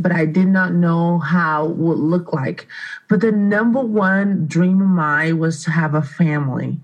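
A woman's voice: fairly low pitch, low-quality recording. A woman in her thirties from Brazil, speaking English with a Brazilian Portuguese accent, telling her life story earnestly as a radio interview guest. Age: 30s. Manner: earnest, heartfelt storytelling with frequent restarts. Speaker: guest, art studio owner.

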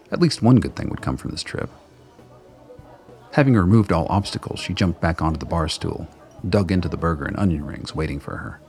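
A man's voice: low voice, a little mellow. A man reading scripted third-person narration for a radio play.

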